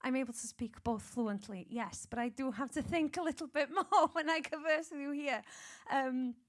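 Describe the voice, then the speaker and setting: A woman's voice: high-pitched, moderate pace. A woman in her forties with a Welsh accent, a singer speaking as a panel guest, earnest and reflective, with light laughter. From Wales. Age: 40s. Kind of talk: panel discussion.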